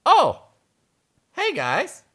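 A woman voicing a small, excitable storybook mole in a sing-song, exaggerated, plaintive way. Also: sits high, very slow, pitched above the performer's own voice, exaggerated voice. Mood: pleading, eager. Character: small excitable cartoonish mole, chanting and pleading, storybook character.

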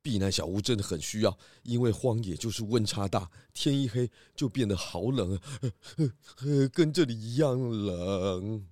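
Smart pig detective, man doing it, lower voice, explains stuff calmly. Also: normal speed, few pauses, no echo, studio quality, lightly acted. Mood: shivering. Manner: calm, explaining, hesitant fillers when cold. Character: clever confident pig detective. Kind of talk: children's audio drama acting.

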